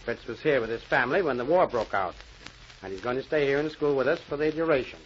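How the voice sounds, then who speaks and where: An actor playing a firm, patient male schoolteacher in an old radio drama, speaking with measured authority. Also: medium pitch, lively intonation, close to an everyday voice. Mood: firm, calm. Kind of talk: 1940s radio acting. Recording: muffled, noisy old radio recording.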